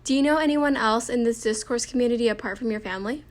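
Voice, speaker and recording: A woman's voice: a little high, fast. A young woman, a native Cantonese speaker, politely reading scripted interview questions for a class presentation.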